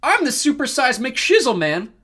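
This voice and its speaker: A man's voice: high voice, big pitch swings, fairly quick, a bit bright, voice pushed up. A man voicing a cocky, hyperactive teenage boy in an audiobook, brash and swaggering as he shows off. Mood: boastful, mocking. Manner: swaggering, brash, exaggerated bragging. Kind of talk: audiobook character voice.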